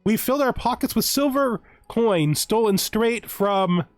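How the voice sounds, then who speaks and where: A man's voice: high voice, expressive, measured pace, no room sound, soft background music. Man muttering through a strategy game. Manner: thinks aloud, hesitant, often corrects himself. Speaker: let's play host. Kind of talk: casual gameplay commentary with read-out game text.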